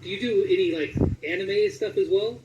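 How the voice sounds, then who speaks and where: A man with a clearly high voice hosts a relaxed, chatty interview. He asks loose, conversational questions. The sound is echoey and distant, with faint noise underneath. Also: normal speed, lo-fi sound. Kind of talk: casual interview chat. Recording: roomy echo, distant mic, faint noise.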